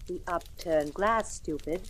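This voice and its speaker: A woman's voice: on the low side, measured pace, fairly bright tone. An actress playing a confident, commanding woman television producer with a snappy manner in an old-time radio drama. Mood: brisk, bossy. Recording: old radio recording with faint hiss.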